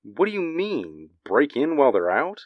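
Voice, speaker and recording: Grumbling New building caretaker, dismissive, lively pitch, dry, 1940s radio play. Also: male voice, moderate pace, dry recording, lo-fi sound. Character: grumbling, evasive building superintendent.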